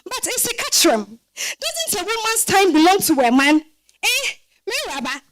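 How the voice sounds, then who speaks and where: Woman acting high-pitched, scolding old Ghanaian grandmother. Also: big pitch swings, normal speed, fairly bright tone, heavily acted. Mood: mocking, challenging. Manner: scolding, rhetorical questions, exclamatory interjections. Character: sharp-tongued, teasing old Ghanaian grandmother, commanding matriarch. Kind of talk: dramatized stage reading.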